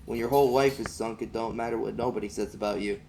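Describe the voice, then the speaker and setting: A man's voice: lowish voice, steady tone, quick pace, gravelly, background noise. A man reading an audiobook as an amateur, narrating in the first person in a folksy vernacular.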